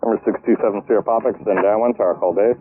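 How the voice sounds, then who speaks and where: A man working as an air traffic controller, giving clipped, procedural clearances over aviation radio in a matter-of-fact way. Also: lowish voice, steady tone, rapid-fire. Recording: narrowband tinny aviation radio transmission.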